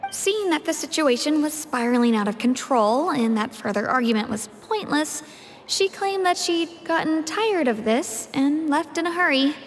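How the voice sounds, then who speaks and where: A woman voicing a polite, composed officer who reports in a formal, measured way, in an English game dub. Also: on the high side, long reverb tail, slight background noise, lightly acted.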